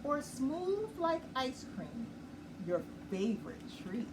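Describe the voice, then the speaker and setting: A woman hosting a nightly children's story time, reading a picture book slowly and gently and pausing to explain it. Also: high voice, sing-song. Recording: far from the mic with clear background noise.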